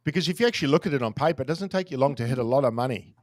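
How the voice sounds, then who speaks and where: A forty-year-old man in relaxed podcast conversation, candid and self-deprecating with casual swearing. His voice sits at a middle pitch and sounds close and dry, with little room around it. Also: rapid-fire, no echo. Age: 40s. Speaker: crypto trader guest.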